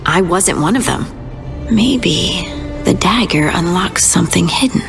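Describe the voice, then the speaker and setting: An earnest young dwarf scout in a fantasy game, with a low-pitched voice. She speaks slowly and hesitantly, thinking as she talks. Music plays clearly behind the game dialogue. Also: expressive.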